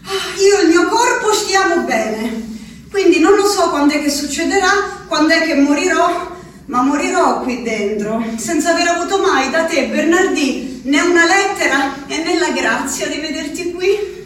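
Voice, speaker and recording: A woman's voice: very high-pitched, animated. An actress playing an unhinged, wistful peasant woman shut in an institution, with an Abruzzese accent, in an incantatory theatrical radio monologue addressed to her absent beloved. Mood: resigned insistence. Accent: central-southern Italian, Abruzzese. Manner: slow, incantatory, repetitive delivery. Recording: roomy echo, distant mic, faint noise.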